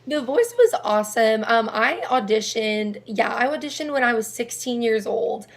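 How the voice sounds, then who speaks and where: A young woman with a raspy speaking voice, though her pitch sits a little high for a woman. She answers interview questions in a chatty, rambling way, often laughing between her thoughts. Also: animated, normal speed. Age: young adult. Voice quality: low raspy voice. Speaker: singer-songwriter guest.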